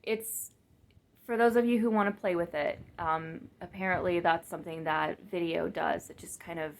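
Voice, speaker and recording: A woman talking casually to her camera in a monotone, flat voice, rambling with fillers and restarts and poking fun at herself. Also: fairly low pitch, moderate pace, gravelly. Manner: rambling, many fillers and restarts, self-mocking. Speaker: vlogger and business coach. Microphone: camera mic, speaking to the lens.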